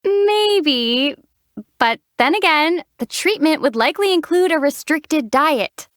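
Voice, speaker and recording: A dryly teasing young traveler. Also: female voice, sits high, takes it slowly, dry recording, studio quality, exaggerated voice. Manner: calm, deadpan teasing. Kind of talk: studio game voice acting. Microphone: studio mic.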